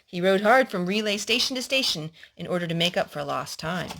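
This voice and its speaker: A woman's voice: on the low side, expressive, a little fast, gravelly, fairly bright tone. A woman storyteller reading a historical children's story aloud to a young listener.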